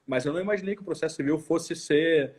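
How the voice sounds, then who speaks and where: A man in his forties with a somewhat high, husky voice and a southern Brazilian accent. He talks casually as an interview guest, thinking aloud and repeating words as he reflects. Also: fast talker. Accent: southern Brazilian, Porto Alegre. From Porto Alegre, southern Brazil. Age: 40s. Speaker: law professor interviewed as guest. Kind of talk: casual interview, recounting own life.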